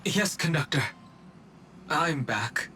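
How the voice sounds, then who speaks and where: A man's voice: on the high side, lively intonation, takes it slowly, sharp tone, a little hiss or hum, heavily acted. An actor playing a reserved, earnest, worried young man in an anime game. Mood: subdued, questioning. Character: serious, reserved, worried young male adventurer. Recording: music behind the voice.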